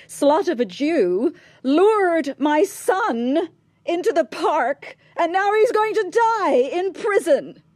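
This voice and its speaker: A woman's voice: very high-pitched, big pitch swings, slow, a little mellow, dry recording, heavily acted. An actress playing a bitter, imperious, bigoted upper-class mother in a radio drama, clipped, cutting and sarcastic, with insistent questioning. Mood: accusing, bitter. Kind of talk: naturalistic radio drama acting.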